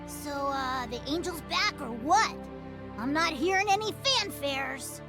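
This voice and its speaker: A woman's voice: high-pitched, animated, unhurried. A woman voicing a small, cheeky childlike companion in a video game dub, talking casually and bluntly. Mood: puzzled, casual. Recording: voice over background music.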